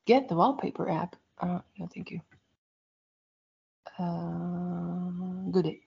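A woman co-hosting a casual chat, talking in a rambling, lively way about her week as a church school teacher. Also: on the low side, slow pace, vocal fry. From outside the United States. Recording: dull lo-fi sound.

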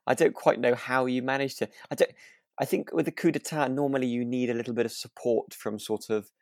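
A man co-hosting a podcast in relaxed casual chat, speaking in a hesitant, tentative, wry way. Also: medium pitch, brisk, dry recording, studio quality. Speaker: podcast co-host. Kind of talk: relaxed casual chat reviewing a radio drama.

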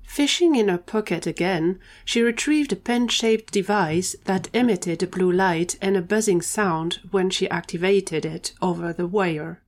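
A woman's voice: on the low side, unhurried, few pauses. A woman reading scripted narration for an audio drama, telling the story between scenes.

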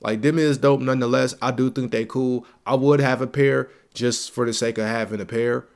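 A man's voice: mid-range voice, fairly even intonation, normal speed, vocal fry. A young man hosting a casual solo gaming podcast, a little stuffy and congested, talking in slang with a hype-man energy and repeating his lines for emphasis. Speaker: podcast host.

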